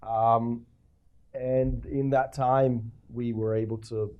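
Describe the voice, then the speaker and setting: A man's voice: mid-range voice, flat delivery, slow pace, clean tone, phone-quality sound. A man in his forties from Croatia, a guest telling his story in a casual interview, with many fillers and repeated starts. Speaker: festival founder, guest.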